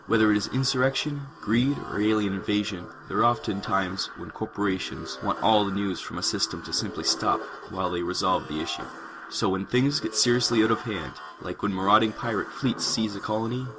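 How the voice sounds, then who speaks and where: Low-voiced male podcast narrator. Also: noisy background. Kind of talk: scripted narration.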